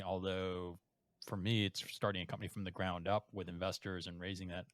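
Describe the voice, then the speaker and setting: A man's voice: low voice, dry recording, studio quality. A man hosting a podcast, talking in a conversational way and thinking aloud with "um" before asking a question. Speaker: podcast host. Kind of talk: podcast interview.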